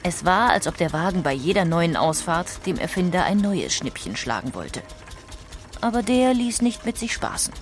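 Female documentary narrator, lowish voice, composed literary delivery for an audiobook. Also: very animated, normal speed, a little hiss or hum. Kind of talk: scripted documentary narration with read quotations.

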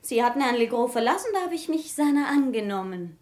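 An actress playing a brazen, spiteful housemaid in a German radio play, insolent and taunting. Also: female voice, fairly high pitch, animated, fast, darkish tone, lightly acted. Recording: echoey room.

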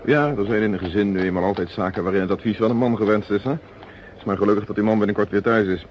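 Police inspector man acting, radio detective play: lowish voice, shrewd, smooth, politely probing; muffled, faintly noisy recording. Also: natural voice. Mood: casually probing. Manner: measured, casually probing, polite.

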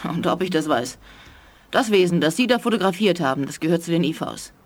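Imperious alien woman, low register, grave and fatalistic, emphatic radio-play delivery. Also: lively intonation, a little fast. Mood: scornful certainty. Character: proud, fatalistic alien woman of an advanced empire. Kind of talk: 1980s German radio play acting.

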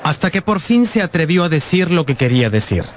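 A man playing a heartfelt narrator who tells his own youth in a scripted radio drama, with an expressive storytelling delivery. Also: on the high side, a little fast, slight background noise. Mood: reflective, troubled. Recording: music behind the narration.

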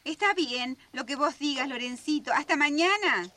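A homely, doting mother with a Rioplatense accent in a radio drama. She is high and animated, always fondly fussing, with clear noise in the recording. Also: normal speed. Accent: Rioplatense Argentine. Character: doting, fussy, homely mother of grown children.

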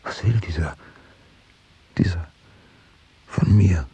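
An actor playing a tormented, sleepless prosecutor in a radio drama, murmuring halting, repetitive thoughts near delirium. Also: male voice, low-pitched, dark tone, slight background noise. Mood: paranoid, agitated. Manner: halting, repetitive, often whispering. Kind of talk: radio drama inner monologue. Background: music.

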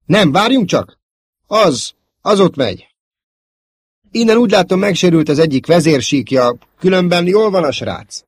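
A man voicing an excitable young male fighter pilot, calling out urgently in a space audiobook. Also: breathy edge, dry recording. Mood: relieved excitement. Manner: high-flung, urgent shouts.

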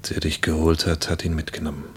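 An actor playing a grave, mournful man addressing the dead, subdued, in a German radio play monologue. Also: fast talker. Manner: subdued, monotone. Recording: faint low rumbling noise.

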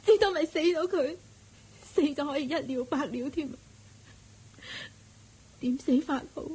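An actress playing a mistreated, despairing young wife in a radio drama, talking in a weepy, pleading way. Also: female voice, high voice, a little slow, mellow. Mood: distraught. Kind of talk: Cantonese radio drama acting.

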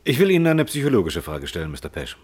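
An actor in a radio play voicing a persistent defence lawyer who puts formal, pointed questions. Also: male voice, lowish voice, wildly swooping pitch, fast talker, husky, full character voice. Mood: probing. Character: probing, persistent male defence lawyer cross-examining a witness. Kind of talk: radio play courtroom acting.

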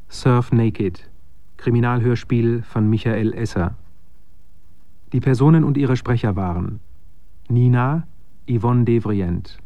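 A male announcer with a mellow, dark voice reads formal credits slowly. The sound is very dry and a little dull. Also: mid-range voice, no room sound.

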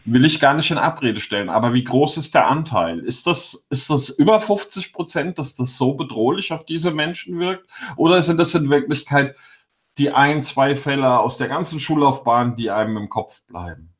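A man's voice: medium pitch, moderate pace. A man co-hosting a podcast argues in a sardonic tone and keeps asking pointed questions. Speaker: podcast co-host. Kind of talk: casual debate.

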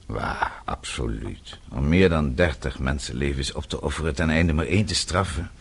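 An actor in a radio drama playing an authoritative middle-aged father-in-law, measured, paternal and formal, with a husky voice. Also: very deep voice, exaggerated voice. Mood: grave, guarded. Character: authoritative, unruffled father-in-law advising a younger man.

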